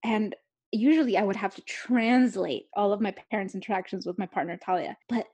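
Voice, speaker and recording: A young woman speaks in a breathy, slightly husky voice. She rambles candidly, full of filler words and quick laughs. Her pitch sits in a middle range. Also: darkish tone, highs cut off. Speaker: author. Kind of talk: candid conversational talk.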